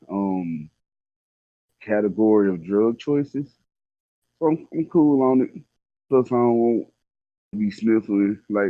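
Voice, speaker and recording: A man's voice: lowish voice, narrow, tinny audio. A man in his twenties chatting casually as a guest, candid and rambling, with a lot of slang. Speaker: guest telling personal stories. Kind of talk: casual chat.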